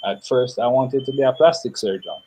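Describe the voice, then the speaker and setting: A young man, answering interview questions in a thoughtful, measured way with pauses. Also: mid-range voice, mellow, a little hiss or hum. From Jamaica. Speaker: interview guest.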